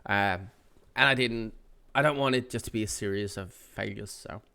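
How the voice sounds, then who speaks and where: A man's voice: fairly low pitch, moderate pace, bright, forceful tone. A man hosting his gaming channel, sounding nasal from a cold, giving a casual, rambling update with many fillers.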